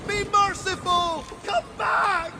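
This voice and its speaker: A man's voice: extremely high pitch, fairly even intonation, slow pace, vocal fry, noisy background, exaggerated voice. A man playing a marooned mutineer, wailing and pleading desperately in a sea drama.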